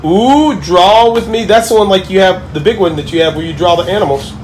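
A woman's voice: fairly low pitch, a little fast, poor audio. A woman hosting a vlog, chatting cheerfully with a child while filming in a motel room. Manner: cheerful, laughing often, talking to a child. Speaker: vlog host filming a gift opening. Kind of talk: casual chat. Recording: camera audio with steady background noise.

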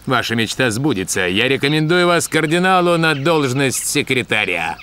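A man's voice: mid-range voice, big pitch swings, moderate pace, bright, forceful tone, clear, resonant tone. An actor dubbing a male dog musketeer posing as a haughty count in a cartoon. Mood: commanding. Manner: commanding and haughty. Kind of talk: cartoon dub acting.

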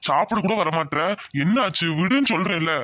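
A woman voicing a gentle village farmer, a husband and father who keeps reassuring his wife. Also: lowish voice, a little fast, no room sound, lightly acted. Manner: soothing, reassuring.

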